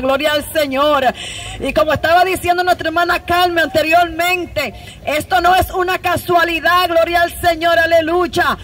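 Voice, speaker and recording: A woman preaching fervently outdoors, shouting and repeating praise phrases. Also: very high voice, slight background noise. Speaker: street preacher. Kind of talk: fervent open-air preaching. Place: city sidewalk outdoors.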